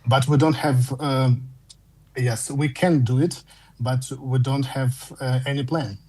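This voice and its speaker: A man with a Russian accent, calling in to a fan show, speaking English as a second language in halting phrases with frequent restarts. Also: mid-range voice, steady tone, a little mellow, breathy edge, poor audio. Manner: halting, repeats words and restarts while searching for English. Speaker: caller. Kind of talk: phone-in fan chat.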